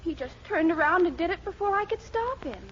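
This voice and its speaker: An actress playing an earnest, lovelorn young sweetheart in a 1940s radio comedy, with an emphatic, pleading, melodramatic manner. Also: female voice, extremely high pitch, lively intonation, a little fast, a bit bright. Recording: muffled, noisy 1940s radio recording.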